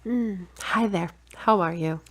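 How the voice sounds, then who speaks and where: A woman playing a frank, slightly bossy and stubborn girlfriend in an audio drama, brisk and matter-of-fact. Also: medium pitch. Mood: frank, weary. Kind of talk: acted audio drama dialogue.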